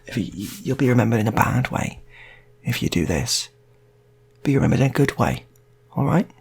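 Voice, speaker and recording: A man voicing an exasperated unarmed hostage who scolds and pleads in a halting, repetitive, fatherly way, in an improvised story. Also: medium pitch, very animated, creaky, darkish tone, husky, heavily acted. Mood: consoling.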